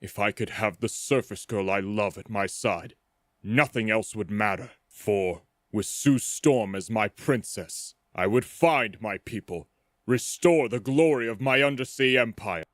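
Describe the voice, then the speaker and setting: A man plays a proud, lonely prince of the sea in a superhero comic dub. The voice is fairly low and recorded dry, with solemn, grandiose, theatrical phrasing. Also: measured pace, dry recording, natural voice. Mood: lonely, yearning. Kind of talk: dramatic comic dub acting.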